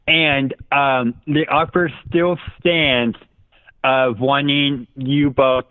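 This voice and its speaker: A man's voice: mid-range voice, fairly even intonation, very slow, smooth, no echo. A man chatting casually as a regular caller phoning in to a talk show. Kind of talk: casual phone call-in chat. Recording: dry, narrow phone line.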